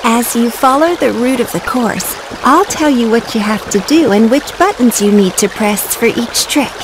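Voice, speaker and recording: A woman voices a friendly little female bird for a video game. Her voice sits somewhat high as she helpfully explains things. Clear water noise runs behind her. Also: moderate pace, natural voice. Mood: cheerful, instructive. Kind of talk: video game cutscene acting, giving instructions.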